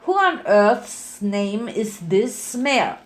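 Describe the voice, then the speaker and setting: A woman's voice: medium pitch, sing-song, very slow. A haughty villain stallion of a scientist, put on by a woman reading a story. He is impatient and scornful, snapping out commands.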